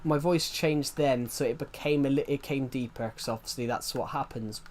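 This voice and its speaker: A man's voice: mid-range voice, normal speed, slight background noise. A man in his twenties streaming, chatting casually and rambling, saying each phrase twice before moving on. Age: 20s. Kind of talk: rambling casual livestream chat.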